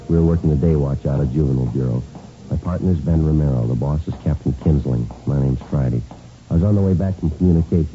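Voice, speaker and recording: An actor playing a terse, deadpan police detective who narrates in clipped, matter-of-fact fashion in a 1950s radio drama. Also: male voice, a bass voice. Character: terse, businesslike male police detective sergeant. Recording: muffled vintage radio recording, music underneath.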